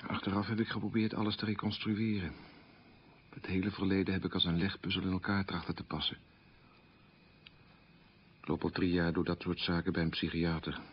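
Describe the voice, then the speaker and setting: A man's voice: deep voice, little pitch movement, a little fast, long pauses, a little hiss or hum. An actor playing a troubled middle-aged man who candidly recalls his wartime boyhood in a plain, anecdotal radio play monologue. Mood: rueful. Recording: muffled old radio recording.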